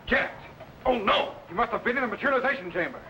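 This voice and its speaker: An actor playing a confident, commanding time-travelling captain in a brisk, businesslike manner. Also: male voice, extremely high pitch, animated, highs cut off. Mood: alarmed. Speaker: lead character. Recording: noisy old radio recording.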